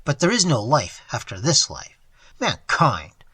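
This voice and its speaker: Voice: mid-range voice, wildly swooping pitch, measured pace, pushed and bright, slightly rough, no room sound, exaggerated voice. A reader doing a bitter, scornful young robber. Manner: hard, scornful and mocking.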